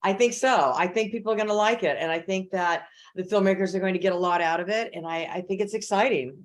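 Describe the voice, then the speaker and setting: A woman with a mid-pitched voice talks as a festival organizer. She promotes the festival in a measured but enthusiastic way during a casual interview.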